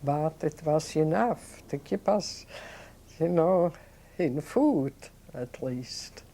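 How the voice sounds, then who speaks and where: An older woman, recalling her childhood in an oral history interview, talking in a halting, reflective way with many restarts. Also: low voice, animated, warm tone, slight background noise. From Poland. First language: Yiddish. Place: indoors, seated on a sofa.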